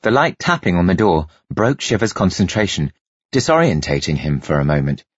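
Male audiobook narrator: dramatic, lively storytelling in a lowish breathy voice, close dry muffled sound. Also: brisk, no echo.

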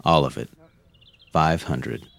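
An actor playing a stubborn, blunt logger in a film drama, with terse delivery. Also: male voice, extremely low pitch, steady tone, slow pace, fairly bright tone, full character voice. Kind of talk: voice acting in a storyboard film.

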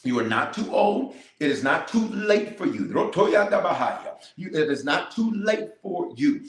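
A man's voice: sits high, very animated, measured pace, echoey room. A man, a bishop, leading a livestreamed prayer with fervent, repetitive declarations and calls to the viewers. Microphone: cameras in front of him.

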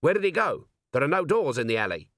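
A man voicing a brisk city watch commander in an audiobook, curt, dry and authoritative. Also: fairly high pitch, big pitch swings, a bit bright, dry recording, pitched above the performer's own voice. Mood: urgent.

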